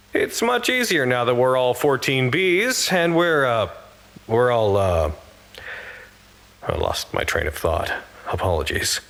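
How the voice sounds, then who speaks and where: A man's voice: medium pitch, fairly bright tone. A man playing an earnest, anxious facility worker who reports to command in a wordy, formal audio drama monologue.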